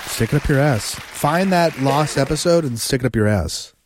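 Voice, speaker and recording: A man's voice: medium pitch, lively intonation, normal speed, a faint crowd, a little hiss or hum. A man co-hosting a podcast in casual banter, slangy and freely profane. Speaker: podcast co-host.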